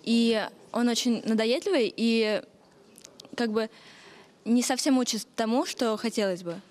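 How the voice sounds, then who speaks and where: A woman's voice: a little high, unhurried, darkish tone. A young woman from an audience giving a casual opinion into a handheld mic. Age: young adult. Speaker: audience member.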